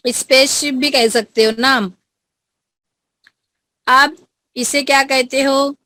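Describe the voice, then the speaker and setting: A woman's voice: high voice, steady tone, takes it slowly, bright, forceful tone, dry recording. A woman teaching an online class to schoolchildren over a video call, coaxing them and repeating her prompts, with an Indian accent.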